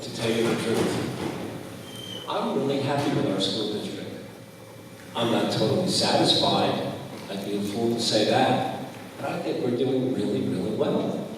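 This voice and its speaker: A man making folksy, off-the-cuff closing remarks as a school board candidate at a public forum. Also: lowish voice. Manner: plain-spoken, folksy, repetitive phrasing. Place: large echoey room at a public forum. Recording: echoey hall from far off, clear noise.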